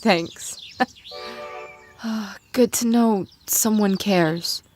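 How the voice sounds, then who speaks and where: A woman's voice: mid-range voice, darkish tone, faint music behind. Woman voicing a weak, breathless dying warrior monster. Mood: weak, tender. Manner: halting, strained, gasping delivery. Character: dying female warrior monster, proud, loyal, self-sacrificing. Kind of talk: dramatic fan-fiction reading.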